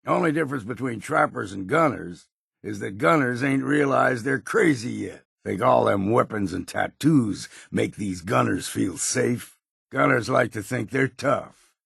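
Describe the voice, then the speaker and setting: An actor playing a grizzled, world-weary old hunter in a video game, with an American accent and a gruff, gravelly, folksy delivery. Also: male voice, medium pitch, expressive, moderate pace, fairly bright tone, no room sound, studio quality, full character voice. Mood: scornful. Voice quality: gravelly, husky. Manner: folksy, plain-spoken, blunt. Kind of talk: video game character voice lines.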